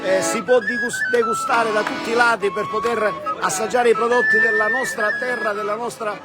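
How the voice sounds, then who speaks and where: A man's voice: high-pitched, steady tone, normal speed, over a noisy crowd. A man with a Sicilian accent speaking enthusiastically as a mayor in a live festival interview, listing things repetitively. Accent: Italian, Sicilian. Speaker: town mayor being interviewed. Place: outdoors in a village square. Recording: outdoor festival with loud music behind.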